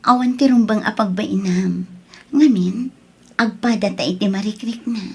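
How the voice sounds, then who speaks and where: Woman playing a husky, tender Filipino sweetheart. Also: mid-range voice, moderate pace, warm tone. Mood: coaxing, loving. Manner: tender, coaxing. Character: affectionate, gentle schoolteacher in love. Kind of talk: audio drama acting.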